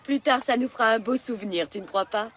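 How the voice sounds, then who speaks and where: A woman's voice: fairly high pitch, fast. A woman playing a kindly schoolteacher who coaxes and encourages her pupils in a cartoon. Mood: coaxing. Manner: gentle, coaxing. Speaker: teacher. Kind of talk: cartoon dub.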